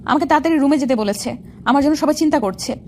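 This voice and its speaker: Woman as wary, shy young female student in romantic audio drama; high-pitched, brisk, over background noise. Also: darkish tone, lightly acted. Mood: polite, reserved. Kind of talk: Bengali audio love story dialogue.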